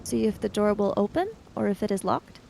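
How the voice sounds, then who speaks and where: A woman narrating her character's actions in a tabletop roleplaying game, in halting, deliberate phrases. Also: medium pitch, creaky, a little mellow, slight background noise.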